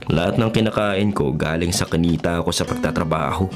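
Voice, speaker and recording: A man's voice: deep voice, a little fast, over music, close to an everyday voice. A man playing a skeptical, self-reliant journalist in his twenties in a radio drama, with a Filipino accent and a conversational, questioning way of talking. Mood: bitter, scornful. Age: 20s. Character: skeptical 23-year-old male journalist, faithless and self-reliant.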